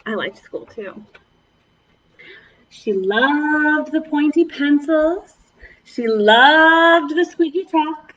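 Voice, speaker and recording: A woman's voice: high voice, extremely slow, pauses often, a bit bright, distant mic, highs cut off. A woman children's librarian leading a preschool storytime, reading aloud and chatting encouragingly to the children as her friends.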